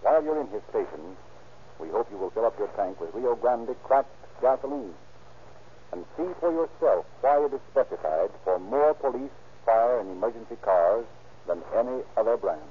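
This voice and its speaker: A man's voice: lowish voice, expressive, normal speed. A man narrating and announcing in a formal, declarative 1930s radio style. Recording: tinny, noisy 1930s radio recording.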